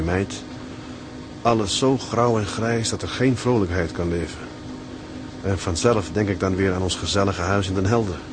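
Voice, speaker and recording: A man acting a homesick young ship's mate in a Dutch radio drama, gloomy, frightened and halting. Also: lowish voice, background noise, close to an everyday voice.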